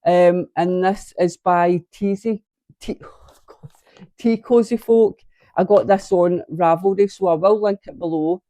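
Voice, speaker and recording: Lady chatting about knitting at home, bit stuffed up, warm low-key tone, keeps cutting herself off. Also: steady tone, a little slow, no room sound. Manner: chatty, rambling, self-interrupting. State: congested from sinus trouble. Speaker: knitting vlogger. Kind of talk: casual chat to camera. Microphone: camera on a tripod.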